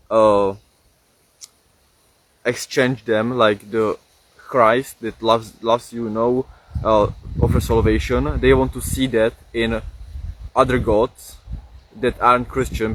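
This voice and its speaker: A young man speaking English as a second language with a Czech accent, talking casually on a livestream, halting with many fillers and restarts. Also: mid-range voice, slight background noise, low-quality recording. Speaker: livestream host.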